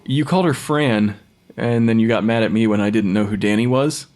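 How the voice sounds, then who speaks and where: An actor playing a brusque forensic pathologist in an audio drama, clinical and matter-of-fact. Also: male voice, fairly low pitch, a little fast, darkish tone, close to an everyday voice. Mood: measured. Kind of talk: dramatic audio fiction acting.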